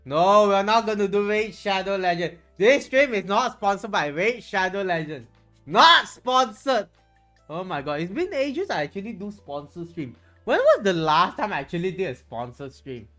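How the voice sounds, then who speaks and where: Music plays behind a streamer with a Malaysian accent and a medium-pitched voice. The talk is casual and rambling, with phrases repeated and restarted as the thoughts come. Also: very animated, moderate pace, a bit bright. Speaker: livestreamer. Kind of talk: casual livestream chat. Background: background music.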